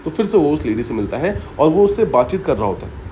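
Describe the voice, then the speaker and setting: A man's voice: on the high side, big pitch swings, fast, dark tone, a little hiss or hum. A man teaching exam students by telling a story, explaining step by step and often repeating key points with short English asides. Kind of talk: story-based mnemonic lesson.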